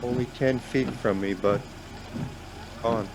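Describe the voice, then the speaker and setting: A man's voice: steady tone, slow, gravelly. An actor playing a numb, guilt-stricken man traveller in a radio play, speaking in a hesitant, subdued way. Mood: numb, shocked. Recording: background noise with car rumble.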